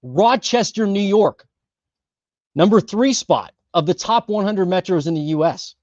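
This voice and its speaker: Male host, fairly high voice, loose filler-heavy delivery, dry dull lo-fi sound. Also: darkish tone, no room sound. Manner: chatty, filler-heavy, self-correcting. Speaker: host of a housing market livestream. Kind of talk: casual livestream data rundown.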